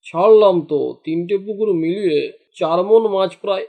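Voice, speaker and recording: A man's voice: high voice, normal speed, mellow, lightly acted. A man voicing a jealous, greedy fisherman in a cartoon, grumbling and indignant in his delivery. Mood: worried. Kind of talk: cartoon dialogue acting.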